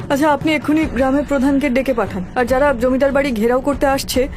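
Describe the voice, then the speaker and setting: A woman's voice: high voice, steady tone, fast, close to an everyday voice. A woman playing a devoted, dignified landlord's wife in a cartoon, in an earnest, melodramatic manner. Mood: resolute. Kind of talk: Bengali cartoon voice acting. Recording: music behind the voice.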